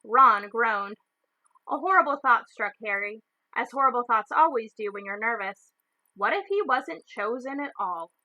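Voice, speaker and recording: A woman storyteller reading a children's novel aloud in expressive narration. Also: a little high, moderate pace, dry recording, dull audio.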